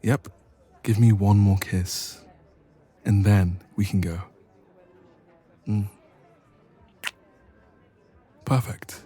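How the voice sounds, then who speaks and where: A man playing a smitten, shy and teasing young best friend in a romantic audio roleplay, speaking softly and intimately with some hesitation. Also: fairly low pitch, fairly even intonation, slow pace, breathy edge. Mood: playful, affectionate.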